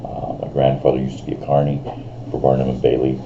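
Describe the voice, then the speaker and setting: A man in his fifties rambling through a casual vlog, full of fillers and restarts, with a voice he calls big and loud. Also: a bass voice, completely flat delivery, fast talker, dull audio, background noise. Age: 50s. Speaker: vlogger. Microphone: camera on a screen in front of him.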